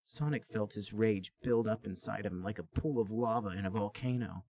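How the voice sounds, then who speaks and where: A man's voice: fairly low pitch, normal speed, darkish tone. A man hosting a comedy podcast, narrating a story aloud in a plain storybook manner. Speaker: podcast host reading a story. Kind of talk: comic read-aloud narration.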